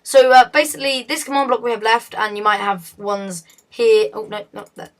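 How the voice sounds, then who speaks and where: A woman with a hoarse, fading voice, talking through a game tutorial in a chatty, self-correcting way. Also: fairly high pitch, flat delivery, normal speed. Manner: chatty, self-correcting, often laughs at slips. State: hoarse, losing her voice. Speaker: video tutorial host.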